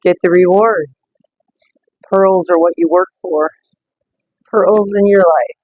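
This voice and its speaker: A woman with a slightly rough voice, reading oracle cards in a casual live stream and greeting viewers by name. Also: fairly low pitch, slow, dry recording, narrow, tinny audio. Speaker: oracle card reader.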